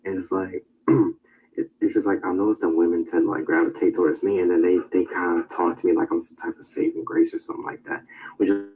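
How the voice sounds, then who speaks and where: Young dude talking about himself, low warm voice, lots of likes and ums. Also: male voice, little pitch movement, fast, poor audio. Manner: hesitant, full of "like" and "um". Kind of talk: casual personal talk.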